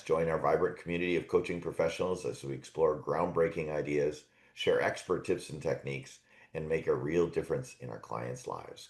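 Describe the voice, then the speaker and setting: A man's voice: very deep voice, vocal fry. A man hosting a coaching podcast interview, upbeat and laughing often. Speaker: podcast host. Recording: roomy echo.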